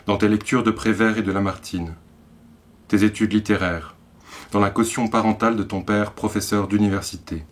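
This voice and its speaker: A man with an accent from France reads literary poetry in a measured way, in short phrases set apart by deliberate pauses. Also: on the low side, steady tone. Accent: French from France. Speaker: poet reading own work.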